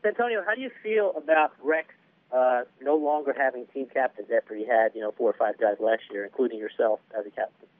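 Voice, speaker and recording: A man's voice: mid-range voice, a little fast. A male sports reporter on a conference call, asking pointed, probing questions. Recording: dry tinny phone line.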